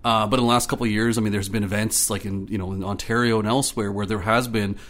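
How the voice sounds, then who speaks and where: A man's voice: fairly low pitch, fairly even intonation, fast, fairly bright tone. A Canadian man speaking as an interview guest, talkative and good-natured, telling things in an anecdotal, conversational way. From Ottawa, Canada.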